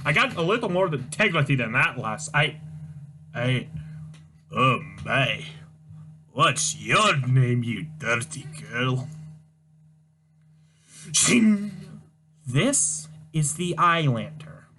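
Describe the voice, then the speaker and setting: A man reading a comic aloud, voicing a gruff Scottish demolitions man with a low burr. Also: lively intonation, slow pace, long pauses, bright, forceful tone.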